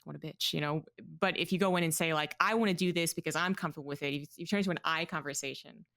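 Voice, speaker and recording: A woman's voice: sits low, fast, a bit bright, no echo, clean studio sound. A woman esports commentator hosting an interview over a video call, thinking aloud in a reflective way through a headset. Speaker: esports commentator, podcast host. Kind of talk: casual interview chat. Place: small room at home.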